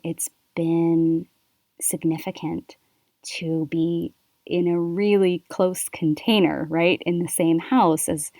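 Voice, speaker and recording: Soft-spoken reflective Canadian-born woman. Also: low-pitched, dry recording. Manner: soft, thoughtful, unhurried with pauses. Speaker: podcast host. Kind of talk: reflective solo podcast.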